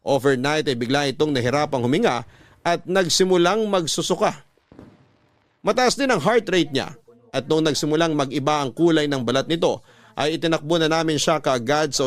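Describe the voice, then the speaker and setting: A man with a Filipino accent narrating a scripted first-person story in classic radio storytelling style. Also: on the high side. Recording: music under the voice.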